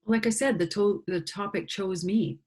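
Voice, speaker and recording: A woman's voice: mid-range voice, normal speed, a little mellow, husky. A woman from Canada, a singer-songwriter and interview guest, speaking earnestly and with conviction. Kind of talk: reflective conversation.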